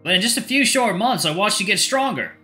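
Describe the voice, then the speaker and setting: A man voicing a caring male coach who gently encourages his student. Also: sits high, lively intonation, brisk, pitched above the performer's own voice. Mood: tender, proud. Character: caring male coach, warm proud mentor. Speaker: trainer of the narrator. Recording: soft music behind.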